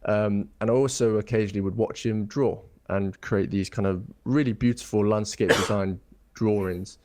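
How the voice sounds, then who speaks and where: A young man from England with a fairly low voice, speaking as a guest on an interview show. He talks in a loose, thoughtful way, full of ums and false starts as he circles back on his words. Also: steady tone, moderate pace, a little mellow. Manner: thoughtful, rambling, many ums and restarts. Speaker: guest architect. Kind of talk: casual interview chat.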